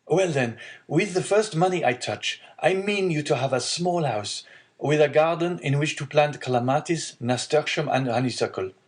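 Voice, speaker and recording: Man acting an eager young sailor, pitched up. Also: normal speed, natural voice. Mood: excited, hopeful. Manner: earnest, exclamatory, urgent.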